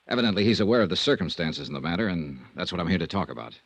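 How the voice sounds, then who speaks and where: An actor playing a wry, persistent insurance investigator in a 1950s American radio drama, with a clipped, conversational manner. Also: male voice, sits low, expressive, racing, no echo. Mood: probing. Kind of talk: 1950s radio detective drama acting and narration. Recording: dull vintage 1950s radio recording.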